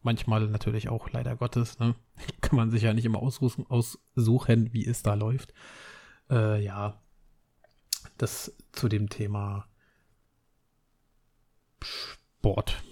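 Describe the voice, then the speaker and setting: Low, mellow man with a cold. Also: takes it slowly, long pauses. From Berlin, Germany. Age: 30s. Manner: relaxed, rambling, many fillers and restarts. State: has a cold. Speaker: podcast host. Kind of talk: casual solo podcast chat.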